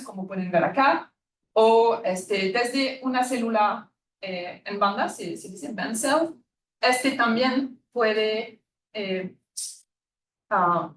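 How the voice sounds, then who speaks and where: A woman from France with a French accent giving a friendly, hesitant university lecture on immunology, often pausing with fillers and correcting herself. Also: on the high side, a bit bright. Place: lecture room. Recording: echoey lecture room, distant mic.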